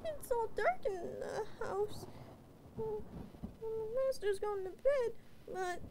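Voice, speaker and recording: Very high, timid whimpering catgirl. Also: animated, slow, noisy background, exaggerated voice. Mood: tearful, pleading. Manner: stammering, whimpering, hesitant. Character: timid, clingy young female catgirl pleading with her master. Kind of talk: roleplay audio drama, bedtime sleep aid. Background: rain and thunder ambience.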